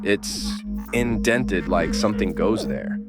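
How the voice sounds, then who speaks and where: A man acting as a gruff middle-aged survivor in a horror audio drama. His voice sits low, his talk is blunt and streetwise, impatient yet caring, with loud music underneath. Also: slow pace. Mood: frustrated. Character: Patrick, gruff older male survivor, impatient but caring. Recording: music behind the voice.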